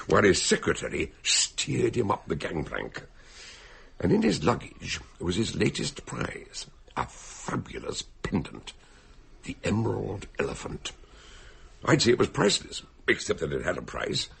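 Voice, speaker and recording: Eccentric elderly sleuth: deep, very husky, genial radio-drama storyteller with hesitant, expansive delivery. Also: male voice, fairly bright tone, heavily acted. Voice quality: gravelly, husky. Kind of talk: radio drama acting and narration.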